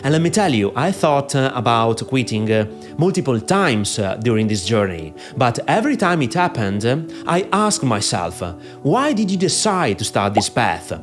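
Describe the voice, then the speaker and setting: Italian-accented guy giving youtube marketing tips, lots of uh's, voice bouncing up and down, soft music behind. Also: medium pitch, very animated, moderate pace, hardly any pauses. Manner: frequent "uh" fillers, emphatic lifts on key phrases. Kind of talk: direct-to-camera advice talk. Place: in front of a green screen. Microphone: podcaster microphone.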